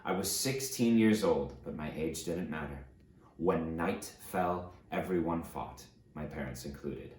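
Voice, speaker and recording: A man's voice: deep voice, darkish tone, echoey room. A man playing an aged fallen tyrant, remorseful and grandiose, reading his confession in a solemn, dramatic audiobook style. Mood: grim recollection. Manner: solemn, dramatic, deliberate storytelling delivery.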